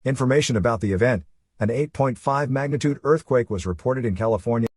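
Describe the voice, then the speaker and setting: A man reading a scripted emergency broadcast as an announcer, in a formal and measured manner. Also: mid-range voice, darkish tone, breathy edge, dry recording.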